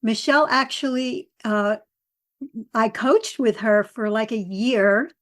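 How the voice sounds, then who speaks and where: A woman hosting a podcast in a conversational, chatty way, quick to laugh. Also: a little high. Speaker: podcast host.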